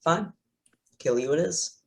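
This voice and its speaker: A man's voice: a little high, expressive, a little slow, gravelly. A man playing a smug, threatening supervillain crime boss in a staged play reading, with a taunting manner. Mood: coldly threatening.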